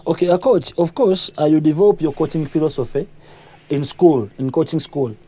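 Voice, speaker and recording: A man's voice: fairly high pitch, brisk, dark tone. A man from Uganda hosting a sports interview, asking questions with frequent "uh" fillers and short acknowledgements. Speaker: interviewer host.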